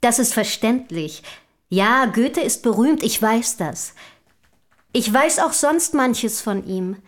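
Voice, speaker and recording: A high-pitched, self-assured young woman of good family in a radio play. She speaks in eager, formal, carefully worded sentences with a polished, articulate delivery. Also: animated, slow. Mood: polite, insistent.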